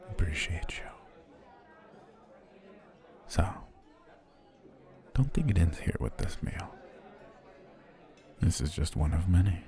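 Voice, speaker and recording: An actor playing an obsessive, smooth-talking male potion seller in an ASMR roleplay, speaking in soft, flowery, courtly phrases that often drop to a whisper. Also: extremely low pitch, full character voice.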